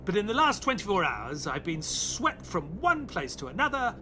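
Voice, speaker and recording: A man's voice: sits high, sing-song, normal speed, pushed and bright, slight background noise, exaggerated voice. An actor playing an earnest, weary male companion to a detective, questioning and reflective, in an audio play. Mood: flustered, weary. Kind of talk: audio play acting and narration.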